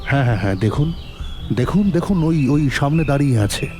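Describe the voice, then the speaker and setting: A man playing a stern police inspector in an audio drama, questioning briskly and probingly. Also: mid-range voice, expressive, a little mellow, faint music behind, natural voice. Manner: brisk, interrogating. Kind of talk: Bengali audio drama acting.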